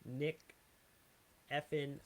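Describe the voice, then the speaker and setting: A man's voice: a little high, flat delivery, very slow. A man hosting a livestream, chatting loosely and hyping an upcoming guest while he checks the setup before the show. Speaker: livestream host.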